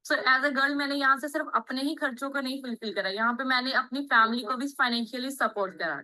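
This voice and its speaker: A woman's voice: high-pitched, fast, sharp tone. A woman giving an eager, upbeat promotional testimonial. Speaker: customer in a testimonial.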